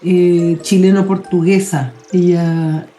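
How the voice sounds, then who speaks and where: A woman in her forties, the guest on an interview show, talking in a relaxed, thoughtful way with pauses and restarts. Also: lowish voice, reverberant. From England. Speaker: guest mycologist. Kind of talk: casual interview talk.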